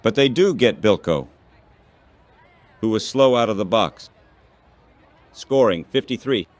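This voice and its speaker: Slow-paced synthetic male baseball announcer. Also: lowish voice, expressive, no room sound. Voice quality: synthetic text-to-speech voice. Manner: short clipped phrases. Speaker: baseball play-by-play commentator. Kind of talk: radio-style baseball commentary.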